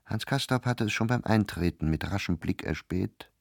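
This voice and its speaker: An actor as an urbane literary narrator in a radio drama, measured and dryly ironic. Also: male voice, on the low side, gravelly, no echo, studio quality. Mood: descriptive, ironic.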